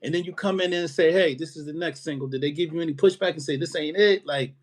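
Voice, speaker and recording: A man hosting a podcast, asking questions in a relaxed way with frequent short affirmations. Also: on the high side, quick pace. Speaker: podcast host. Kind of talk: casual interview questions.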